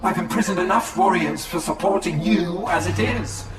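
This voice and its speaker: An actor playing a zealous, commanding alien leader in a game cinematic, speaking in a grand, declamatory way. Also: male voice, high voice, moderate pace, lightly acted. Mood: stern, threatening. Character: zealous, commanding Eldar leader obsessed with prophecy. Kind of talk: dramatic game cinematic acting. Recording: echoey, distant, under loud music.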